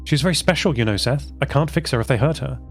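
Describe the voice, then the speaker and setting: Anxious, bossy matriarch played by a man in an audio drama, urgent, raised pitch, gravelly edge. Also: quick pace, soft background music, lightly acted. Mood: worried. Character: commanding, anxious mother of a travelling train family.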